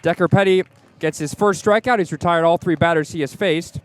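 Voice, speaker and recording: A man's voice: on the high side, a little fast. A man calling live baseball play-by-play on the radio from the ballpark. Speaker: play-by-play sports announcer. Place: outdoors at a baseball stadium.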